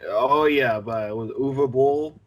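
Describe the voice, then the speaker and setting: A man's voice: mid-range voice, takes it slowly. A man co-hosting a podcast, giving his opinions in a slangy, colloquial, casual way. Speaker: podcast co-host. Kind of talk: casual chat, opinionated commentary.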